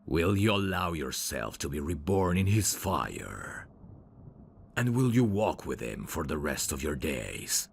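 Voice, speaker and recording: A man playing a suave, devoted demon servant of hell's royalty, speaking formally and deliberately in an ASMR audio drama. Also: big pitch swings, exaggerated voice. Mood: solemn, ritual. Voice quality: gravelly, very deep. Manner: formal, courtly, slow and deliberate.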